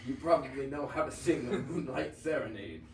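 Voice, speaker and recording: A man's voice: medium pitch, lively intonation, brisk, creaky. A teenage boy playing an old worn-out donkey with musical dreams, joking and punning in a reader's theater performance. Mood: jokey, encouraging. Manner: jokey, punning. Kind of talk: reader's theater script reading. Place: high school classroom. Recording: roomy, distant classroom recording, faint noise.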